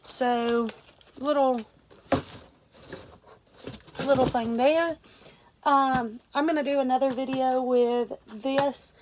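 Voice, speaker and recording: A woman's voice: sits high, steady tone, slow, pauses often, background noise. A young woman, congested and stuffy, casually talking through an unboxing with lots of fillers and restarts. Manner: rambling, many fillers and restarts. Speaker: vlogger.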